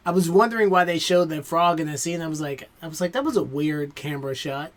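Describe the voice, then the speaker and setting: A man's voice: on the high side, fast. A man giving casual, exclamatory reaction commentary, talking back to the show he watches.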